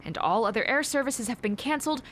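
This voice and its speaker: A woman's voice: fairly high pitch, expressive, fast, fairly bright tone, slight background noise. A woman reporter with an Australian accent narrating a scripted television news report.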